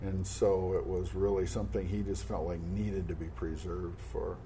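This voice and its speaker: A man with a low, warm voice talks through a family history in a thoughtful, earnest way. He often backs up and restarts phrases as he thinks. A faint background hiss sits under the recording. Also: level tone, moderate pace. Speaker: interview guest. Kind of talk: conversational storytelling.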